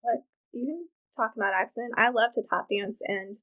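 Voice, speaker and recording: A woman's voice: on the high side, a little fast, rough recording. A woman from Wyoming, a podcast guest who advocates for reproductive rights, telling candid and funny stories in casual chat. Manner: animated, candid, comic storyteller.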